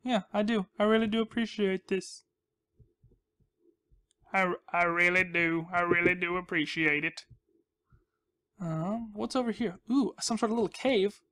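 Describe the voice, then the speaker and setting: A man's voice: high voice, measured pace, pauses often, bright, forceful tone. A young man giving casual, rambling commentary as he hosts a gaming video, with a goofy, self-deprecating, mock-dramatic manner.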